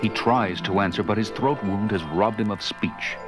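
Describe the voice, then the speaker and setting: An actor playing a grave, suspenseful adventure narrator, portentous and theatrical in scripted storybook style. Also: male voice, on the low side, moderate pace, music in the background, natural voice.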